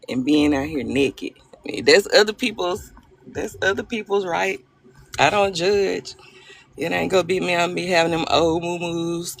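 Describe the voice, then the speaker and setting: Woman, gravelly voice, bright edge, playful live-chat host on a phone. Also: sits low. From Arkansas, US South. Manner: chatty, playful, laughs often, greets viewers by name. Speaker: livestream host. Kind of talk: casual live-stream chat with viewers.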